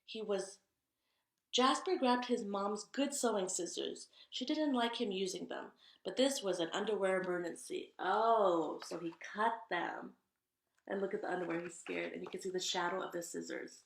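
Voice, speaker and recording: A woman reading a picture book aloud to young children, expressive and chatty, with asides to her listeners. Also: mid-range voice, moderate pace, gravelly, reverberant, slight background noise. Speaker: read-aloud storyteller.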